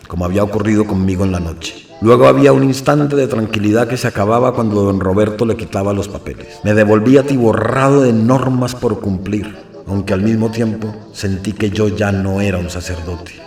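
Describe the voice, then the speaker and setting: A man narrating a radio drama in the first person, measured and brooding. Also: on the low side, roomy sound, close to an everyday voice.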